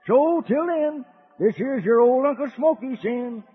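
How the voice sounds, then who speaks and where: An actor playing a folksy old cowboy uncle in a children's radio western serial, with a rustic, avuncular drawl. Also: male voice, very high-pitched, unhurried, slightly rough, exaggerated voice. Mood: folksy, genial. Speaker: narrator and character in a children's serial. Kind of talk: 1940s-50s radio western serial acting. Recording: dull old radio recording, faint music.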